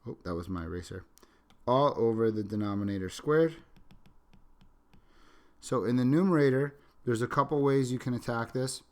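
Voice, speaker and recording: A man's voice: mid-range voice, normal speed, pauses often, a little mellow. A man teaching a calculus lesson, sick and sniffling, talking through each step as he writes and correcting himself along the way. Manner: talks through steps while writing, self-correcting asides. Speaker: math teacher. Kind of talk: recorded calculus lecture. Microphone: microphone.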